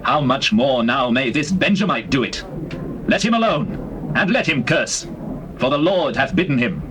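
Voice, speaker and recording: A man's voice: mid-range voice, big pitch swings, normal speed, close to an everyday voice. An actor playing a pious, sorrowful but resolute king in a scripture drama, speaking in solemn declamation on a noisy tape. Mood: anguished, resigned. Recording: noisy old tape with birdsong.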